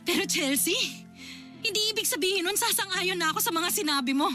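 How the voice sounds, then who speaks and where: A woman's voice: very high voice, expressive, fairly quick. A woman acting as an exasperated older sister in a Filipino radio drama, emotional and scolding as she confronts a wayward sibling. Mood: exasperated, upset. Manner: emotional, scolding, insistent questioning. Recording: dramatic music bed behind.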